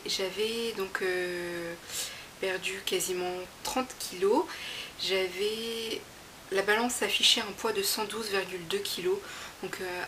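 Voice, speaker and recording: A woman speaks casually to her viewers in a home vlog, talking in a reassuring, chatty way and often pausing on small fillers. Her pitch sits in an ordinary middle range. A constant hiss runs underneath the recording. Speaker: vlogger sharing her weight-loss progress. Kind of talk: casual direct-to-camera vlog. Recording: audible background hiss.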